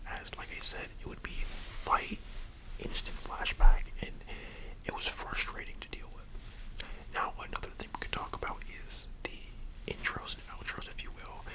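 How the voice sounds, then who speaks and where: An ASMR host speaking in a breathy whisper, rambling casually as if chatting with the listener. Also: poor audio. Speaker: ASMR content creator and host. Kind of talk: whispered ASMR roleplay chat about anime.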